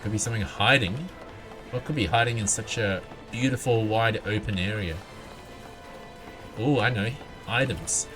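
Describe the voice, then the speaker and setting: A man's voice: on the low side, normal speed, long pauses. A man doing casual, playful live video game commentary, talking to himself and his viewers. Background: video game music.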